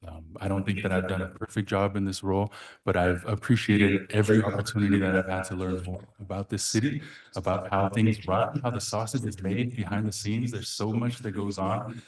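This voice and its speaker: Man, native Samoan speaker, council member, lowish voice, halting heartfelt speech, echoey council chamber. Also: level tone. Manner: halting, heartfelt, many fillers and restarts. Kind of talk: farewell speech at a council meeting.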